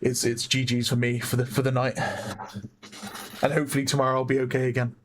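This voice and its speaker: Man, hoarse voice, chatty game caster, mid-pitched, self-correcting play-by-play. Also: little pitch movement, fast talker, slight background noise. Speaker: esports caster. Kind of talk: live game commentary.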